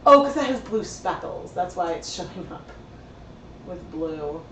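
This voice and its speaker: Woman a bit hoarse, chatty livestream host, lots of likes and ums, mic kinda far off in an echoey room. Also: lowish voice, lively intonation, measured pace, a bit bright, slight background noise. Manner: chatty, thinks aloud, many fillers. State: slightly hoarse. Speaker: livestream host dyeing yarn. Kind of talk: casual livestream tutorial chat. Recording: roomy sound from a distant mic.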